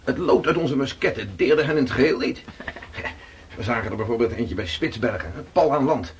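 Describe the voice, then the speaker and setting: An actor in a radio play as a seafaring man telling of an Arctic voyage, hearty and affectionate, with a faint rumble behind. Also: animated, fairly quick, natural voice. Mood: storytelling. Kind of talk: radio drama acting.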